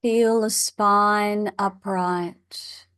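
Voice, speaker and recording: A woman leading a guided meditation as a teacher, in a measured, instructional way with long pauses. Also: mid-range voice, steady tone, fairly bright tone, clear, resonant tone, dry recording, studio quality.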